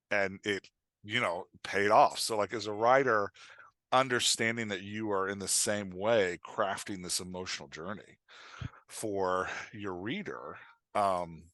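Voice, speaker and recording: A man talking casually as a podcast guest and writing coach, explaining in an informal, halting way with emphatic check-in questions. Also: fairly low pitch, bright, forceful tone, no echo.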